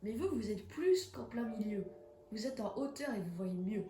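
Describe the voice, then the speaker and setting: A woman's voice: on the high side, animated, normal speed, warm tone, roomy sound, a little hiss or hum. A young woman with a cold, chatting casually and reacting with jumpy exclamations as she plays a horror game. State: has a cold. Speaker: gaming streamer. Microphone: phone.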